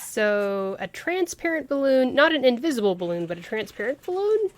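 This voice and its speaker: A woman's voice: high-pitched, very animated, normal speed. A woman livestreamer giving casual live commentary on a game, thinking aloud in a musing way. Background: game music.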